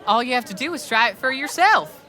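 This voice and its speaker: A man's voice: extremely high pitch, animated, fairly quick, pushed and bright, heavily acted. A man playing the wary, practical sidekick of an Old West con man in an audio drama. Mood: pitching.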